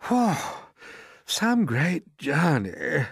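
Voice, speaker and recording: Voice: mid-range voice, sing-song, very slow, slightly rough, no room sound, pitched above the performer's own voice, heavily acted. A vain and fussy centipede from a storybook who makes a great fuss over its boots. It draws out its words in a raised, showy voice, as a reader puts it on, recorded close and dry.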